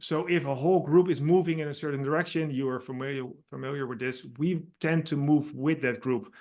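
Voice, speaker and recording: A man's voice: fairly high pitch, fairly even intonation, brisk, darkish tone. A middle-aged man from the Netherlands with a Dutch accent, a guest author explaining his ideas earnestly in a podcast interview, with slightly non-native phrasing.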